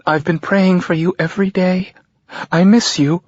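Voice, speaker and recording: A man voicing a middle-aged mother on the phone, crying and pleading in a choked, high voice, in an audiobook. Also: steady tone, normal speed, no room sound. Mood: tearful pleading. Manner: pleading, insistent. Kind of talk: audiobook character voice. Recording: dull lo-fi audio.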